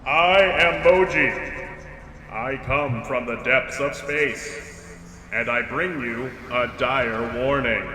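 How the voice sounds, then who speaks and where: Giant evil space starfish, super slow grand announcing, big echo. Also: male voice, mid-range voice, bright, forceful tone. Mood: ominous. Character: menacing giant starfish alien from deep space. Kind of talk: sci-fi audio drama acting. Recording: echoing hall-like reverb, faint noise.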